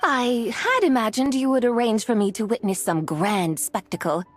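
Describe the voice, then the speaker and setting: A woman's voice: fairly high pitch, lively intonation, normal speed, soft background music, natural voice. A woman playing a regal, curious immortal visitor in a video game, speaking in a formal, archaic and stately manner. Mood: wearily aloof.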